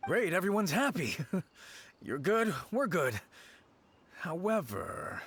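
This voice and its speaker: An actor playing a cocky, sly petty bandit with a cowardly streak, sarcastic and theatrical, in a video game. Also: male voice, sits high, sing-song, slow pace, fairly bright tone, a little hiss or hum, exaggerated voice. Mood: relieved. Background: game music.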